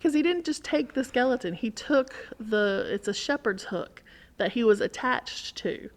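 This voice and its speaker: A woman's voice: on the high side, lively intonation, moderate pace. A woman in a casual podcast conversation, telling an anecdote and acting out quoted remarks as she goes.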